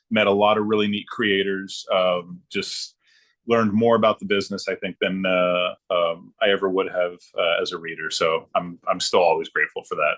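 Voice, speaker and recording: A middle-aged man reminiscing casually, thinking aloud in a halting, informal way. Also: low voice, gravelly, fairly bright tone, low-quality recording. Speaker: guest, former comics production worker turned librarian.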